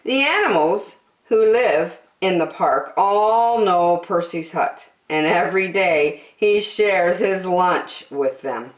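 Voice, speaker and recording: A woman reading a children's story aloud expressively, with deliberate, dramatic phrasing. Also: on the low side, animated, roomy sound.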